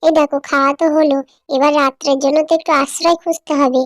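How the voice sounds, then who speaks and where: A woman's voice: high voice, steady tone, fast talker, no room sound, lightly acted. A woman voicing an anxious, caring village wife in a cartoon. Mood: pleading.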